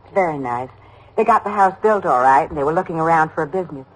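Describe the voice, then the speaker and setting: A middle-aged American housewife, with a husky, slightly rough-edged voice. She is homely and chatty, rambling along and anxious to please, in the manner of an old radio drama. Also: low-pitched, animated, quick pace, low-quality recording. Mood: matter-of-fact reminiscing.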